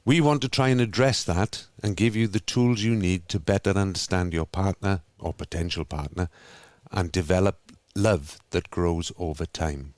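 A Welsh man hosting a video call meetup, reading a steady welcome with small slips. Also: sits low, normal speed, no room sound. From Wales. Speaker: host.

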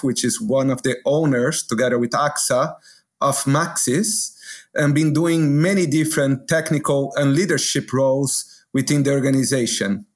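A middle-aged man from Brazil, a business executive answering questions as a guest in an interview. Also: mid-range voice.

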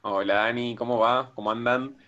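A man's voice: medium pitch, steady tone, moderate pace, pushed and bright, highs cut off. A man co-hosting a podcast, sounding eager and upbeat. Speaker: co-host. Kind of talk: casual podcast chat.

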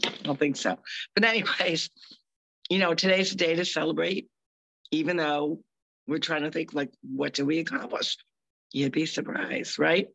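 A woman co-hosting a live talk show over a video call, hoarse and scratchy, chatting in an upbeat, encouraging way. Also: sits low, steady tone, moderate pace, pauses often, fairly bright tone. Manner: upbeat, encouraging, rambling asides. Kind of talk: casual motivational chat.

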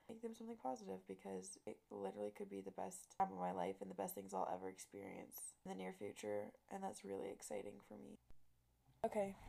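Young female podcast host, hoarse, heavy vocal fry, rambling casual delivery. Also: mid-range voice, mellow. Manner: chatty, rambling, laughs at herself. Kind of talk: casual solo podcast chat.

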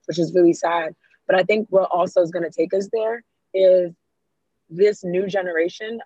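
A woman's voice: on the low side, slightly rough, dry recording. A young woman from Ghana, talking in a casual discussion as a guest, telling stories and acting out the people she quotes. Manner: animated storyteller, quotes people in dialogue. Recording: muffled, slightly lo-fi.

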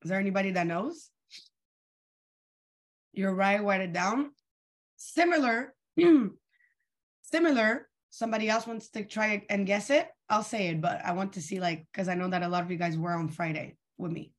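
A young woman runs a weekly training call with lots of energy, often asking her audience questions. Her voice is a bit tired and worn, and it sits at an ordinary pitch for a woman. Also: moderate pace. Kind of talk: live online coaching session.

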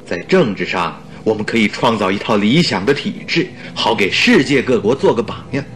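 An actor playing an eager, talkative doctor and detective's sidekick in a radio drama, exclaiming theatrically. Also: male voice, fairly low pitch, lively intonation, normal speed, faint music behind, close to an everyday voice. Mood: excited, jovial. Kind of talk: Mandarin radio drama acting.

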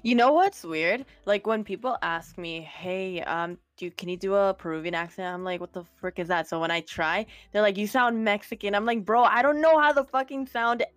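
A woman guest in a casual podcast chat, lively and quick to tease. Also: mid-range voice, no room sound.

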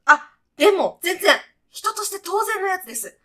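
Woman as a brash, high-pitched, animated heavenly guide. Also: normal speed, heavily acted. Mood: upbeat, brash. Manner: punchy, casual, clipped junior-to-senior slang. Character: brash, breezy heavenly guide with jock junior-employee slang. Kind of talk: comic radio sketch acting.

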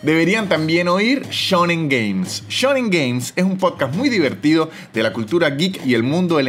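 Venezuelan man, thirties, podcast host, chatty, animated, mid-pitched. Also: a little hiss or hum. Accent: Venezuelan Spanish. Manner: chatty, animated, swings between loud and soft. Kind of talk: casual solo podcast monologue with ad reads.

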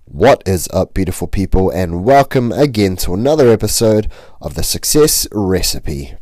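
A man with a low, mellow voice hosts a podcast, and his voice is slightly off while he gets over the flu. He talks in an upbeat, laid-back way. The recording is dry and close, with no room sound. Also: sing-song, moderate pace, no echo. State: voice a little off from the flu. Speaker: podcast host. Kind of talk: podcast intro and interview.

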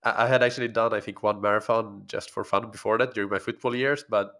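Low-voiced man from Finland, Swedish first language; hesitant, restarts often, on a home mic. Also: steady tone, quick pace, sharp tone. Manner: hesitant, repeats words as he starts sentences. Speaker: podcast guest, triathlon coach. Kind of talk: podcast interview. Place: his home apartment. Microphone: home podcast microphone.

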